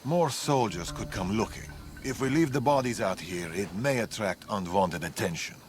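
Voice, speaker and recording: An actor playing a grave, wary older warrior father in a video game, speaking in a measured, solemn, ominous way over dramatic music. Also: fairly low pitch, close to an everyday voice. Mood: grave warning.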